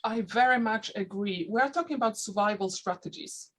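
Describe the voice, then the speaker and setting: A woman who learned English as a second language, speaking with a slight Dutch-sounding accent as a panelist in an online discussion, pausing and restarting as she looks for words. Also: mid-range voice, a bit bright, slightly rough. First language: not English. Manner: thoughtful, searching for words with frequent restarts.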